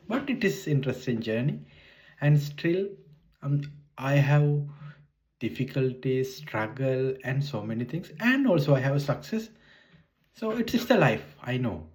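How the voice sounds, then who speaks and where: Sri Lankan man in his forties, halting English. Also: medium pitch, darkish tone. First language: Sinhala. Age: 40s. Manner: hesitant, halting non-native English with frequent restarts. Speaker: vlogger. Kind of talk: casual unscripted monologue.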